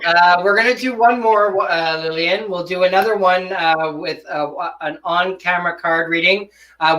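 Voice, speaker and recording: A woman's voice: on the low side, a bit bright. A woman hosting a casual live online show over a video call, rambling and stumbling a little over words. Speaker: host of an online social show. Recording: distant, faintly noisy video call.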